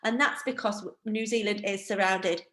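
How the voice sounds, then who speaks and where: A woman's voice: medium pitch, moderate pace, echoey room. Chatty woman with a Northeast English accent. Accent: Northeast England. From Northeast England. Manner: chatty, self-deprecating, lively. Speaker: wine educator. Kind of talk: online training presentation. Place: home room. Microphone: video call.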